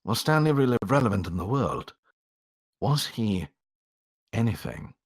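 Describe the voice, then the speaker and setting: Low-voiced man narrating with dramatic swings. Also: very animated, breathy edge. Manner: theatrical, with drawn-out emphasis and dramatic pauses. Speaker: amateur narrator reading a story aloud. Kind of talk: dramatic scripted storytelling narration.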